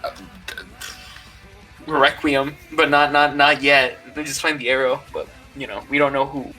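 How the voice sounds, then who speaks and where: A young man co-hosting a podcast in casual, improvised banter, answering haltingly in short phrases. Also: medium pitch, flat delivery, sharp tone, soft background music, low-quality recording. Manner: halting, brief replies. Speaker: podcast co-host.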